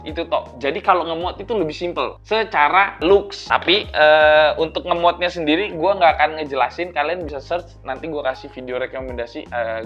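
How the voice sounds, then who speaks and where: A man talks viewers through gear in a casual, chatty way. His voice sits a little high. The recording is somewhat dull and lo-fi, with faint music underneath. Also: fairly bright tone. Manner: informal, chatty, step-by-step. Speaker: vlogger, tech reviewer.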